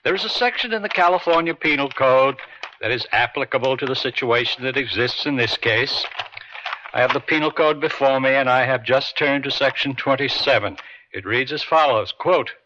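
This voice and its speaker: An actor playing a stern judge in an old-time radio drama, speaking in a measured, formal, authoritative way. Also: male voice, mid-range voice, noisy background, lightly acted. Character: stern, deliberate male judge delivering a ruling. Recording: dull vintage radio drama recording.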